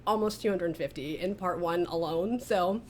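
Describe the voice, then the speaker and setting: A woman's voice: lowish voice, normal speed, a little mellow. A woman hosting a casual interview, chatty and giggly as she talks as a writer. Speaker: writer, director and interview host. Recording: faint background noise.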